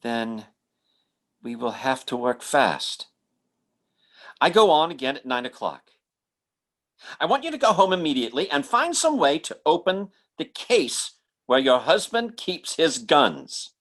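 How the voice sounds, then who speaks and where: A man's voice: fairly high pitch, very animated, a bit bright, heavily acted. Man acting a wildly swooping, earnest stage psychic. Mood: resolute. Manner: halting, stammering. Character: earnest male stage psychic turned urgent helper. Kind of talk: old-time radio drama acting.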